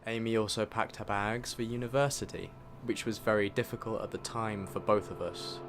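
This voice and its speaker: A man's voice: on the low side, fairly even intonation, normal speed, noisy background. A young adult man reading a scripted, personal look back as a voice-over. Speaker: video narrator. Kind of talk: scripted retrospective voice-over narration. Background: music under the voice.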